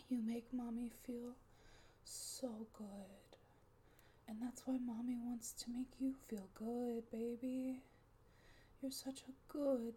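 A woman in an intimate audio roleplay, speaking softly and often slipping into a coaxing whisper. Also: a little high, slight background noise. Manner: soft, often unvoiced whispering; coaxing, doting caretaker tone.

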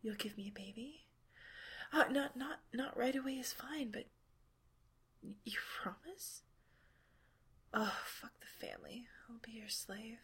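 A woman playing an eager, submissive and sentimental lover in an intimate audio drama, in a breathy, whispery voice with halting, sighing delivery. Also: on the high side, fairly bright tone, exaggerated voice. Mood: hesitant, tender. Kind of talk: intimate scripted audio roleplay for adults.

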